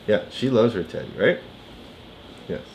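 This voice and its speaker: A woman's voice: rumbling low, expressive, a little slow, darkish tone, low-quality recording. A woman co-hosting a casual read-aloud for a child, explaining in an encouraging way with frequent check-in questions. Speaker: parent co-host of a read-aloud. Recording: faint background noise.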